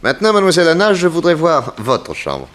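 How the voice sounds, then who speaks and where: An actor playing a shrewd, authoritative private detective with dry irony in a 1960s radio drama. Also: male voice, on the high side, huge pitch swings, fairly quick, a bit bright. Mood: dry, commanding.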